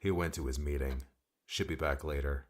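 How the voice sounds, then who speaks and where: Man playing very deep-voiced, curt, aloof loner. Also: steady tone, moderate pace. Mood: curt, detached. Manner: terse, dismissive, cold. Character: curt, aloof male guardian, a weary loner. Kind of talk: dramatised audiobook dialogue.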